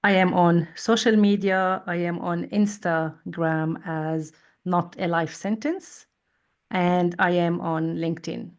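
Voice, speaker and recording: A woman in her forties, a psychologist guest speaking in a measured, thoughtful way in an interview. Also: lowish voice, steady tone. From Lebanon. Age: 40s. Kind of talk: interview conversation.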